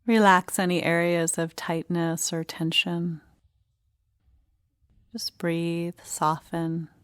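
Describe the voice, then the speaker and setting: A woman leading a guided meditation, speaking in short, gentle phrases with unhurried pauses. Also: fairly low pitch, level tone, smooth, no echo, clean studio sound.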